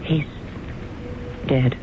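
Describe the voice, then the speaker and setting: A woman's voice: drawn-out words, noisy background. An actress playing a celebrated stage actress haunted by her past, in a 1970s radio drama. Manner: hesitant, stammering when shaken. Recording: tinny old radio broadcast with music.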